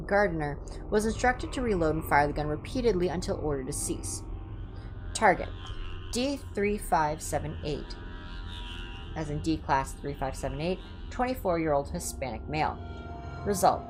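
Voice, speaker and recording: A woman with a fairly low voice reads report-style text aloud as a livestream host. Her delivery is informal, shifting between reading and offhand comments. Music plays clearly behind her. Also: expressive, moderate pace, poor audio. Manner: informal, stumbles over Latin words and comments on the text. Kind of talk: livestream reading of clinical reports with casual asides.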